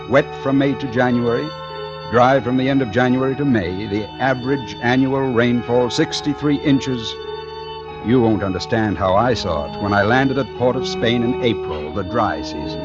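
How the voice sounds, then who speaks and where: An actor playing a brooding, fatalistic male painter who narrates his dark tale with moody, deliberate phrasing in old radio suspense style. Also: mid-range voice, lively intonation, lightly acted. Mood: intense. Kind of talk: 1940s-50s radio suspense acting, first-person narration. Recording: dull old radio recording, music behind.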